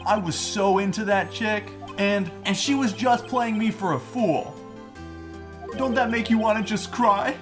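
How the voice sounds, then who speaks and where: A man's voice: high voice, measured pace, music in the background. A man voicing a whiny, lovelorn young slacker with an overwrought, slangy delivery in a fan-dubbed game. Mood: heartbroken. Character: melodramatic, whiny young male slacker, lovelorn best friend. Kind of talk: fan-dub video game dialogue.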